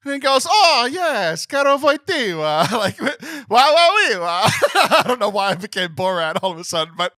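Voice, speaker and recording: A young man co-hosting a podcast, telling a story in a lively, animated way. He laughs often and mimics other people's voices. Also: very high voice, moderate pace, few pauses, no echo, studio quality. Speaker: podcast co-host.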